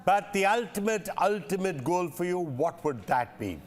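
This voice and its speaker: A male news anchor putting formal, measured questions to a guest in a broadcast interview. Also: high voice, animated, slight background noise.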